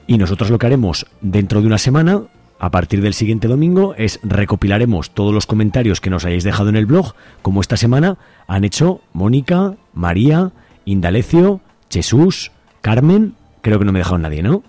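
A man with a lowish voice and an accent from Spain hosts a radio programme. He addresses listeners informally, as if without a script, over faint music. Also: big pitch swings, a little fast, warm tone, dry recording. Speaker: community radio host. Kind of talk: informal, unscripted radio presenting.

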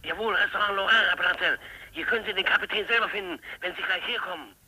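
An actor playing an excitable, deferential informant reporting urgently through a telephone in a 1950s radio play. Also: male voice, sits high, fast, a little hiss or hum, low-quality recording. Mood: excited. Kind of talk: 1950s German radio drama acting.

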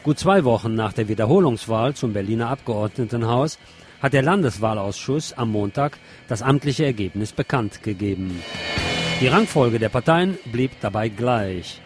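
Male news presenter, scripted reading, medium pitch, faint music underneath. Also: animated, normal speed.

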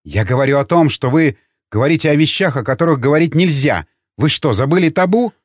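Man acting a sardonic councilman, lively intonation. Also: fairly high pitch, brisk, dry recording, studio quality, voice pushed up. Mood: mocking. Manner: mocking, theatrical. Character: sardonic, needling male councilman. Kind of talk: audiobook character acting.

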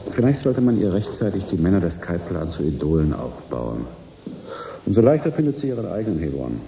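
A man's voice: low-pitched, very animated, fairly quick, creaky, mellow, echoey room, a little hiss or hum, heavily acted, rough recording. A man playing a cool, calculating business negotiator in a radio drama, speaking in a sardonic, emphatic manner. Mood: cynical.

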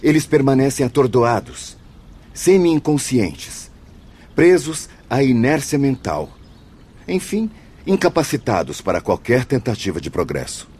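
A Brazilian actor playing a grave, insistent spirit healer in a radio drama, with formal, emphatic delivery and a Brazilian accent. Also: male voice, medium pitch, sing-song, unhurried, darkish tone, breathy edge. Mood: grave, urgent. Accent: Brazilian Portuguese.

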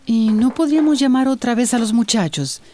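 Mellow, businesslike woman doctor, acted. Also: fairly high pitch, expressive, fairly quick, slight background noise, natural voice. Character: businesslike, insistent woman doctor leading relief work. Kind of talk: radio drama acting.

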